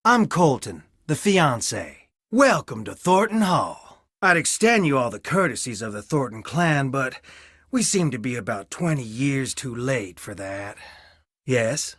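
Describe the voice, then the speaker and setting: An actor playing a weary, guarded young fiancé with a wry, tired manner in a scripted video game. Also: male voice, medium pitch, a bit bright, dry recording, clean studio sound. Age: young adult.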